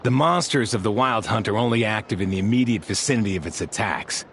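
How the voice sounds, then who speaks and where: A man playing a composed, formal soldier of a guard order, speaking in a measured, serious way in a video game. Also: lowish voice, gravelly, slight background noise. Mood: serious, firm.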